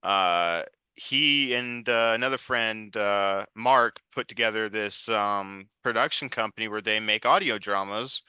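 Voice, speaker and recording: A man's voice: on the low side, measured pace, pushed and bright, clean tone, dry recording. A man in his twenties giving casual, informal, rambling answers as an interview guest. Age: 20s. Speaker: interview guest, writer and director.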